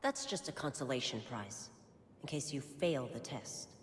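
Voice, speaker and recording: A calm, cryptic female martial arts master poses a challenge. Her voice is low but lilting, and it rings through a long, echoing hall. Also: moderate pace, a little hiss or hum. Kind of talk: video game cutscene acting.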